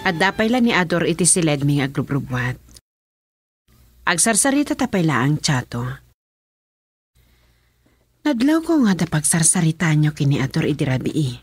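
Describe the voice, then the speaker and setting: An actress with a Filipino accent playing a suspicious, questioning wife in a radio drama, her voice husky. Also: low voice, big pitch swings, moderate pace, pauses often, no room sound, soft background music, heavily acted. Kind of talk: Ilocano radio drama acting.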